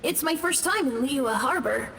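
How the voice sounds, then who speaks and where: A man's voice: very high-pitched, lively intonation, normal speed, vocal fry, a little hiss or hum, full character voice. Shy lost ghost boy. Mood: timid. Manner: shy, hesitant, soft-spoken. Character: timid lost boy ghost, gentle and scared. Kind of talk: video game voice acting.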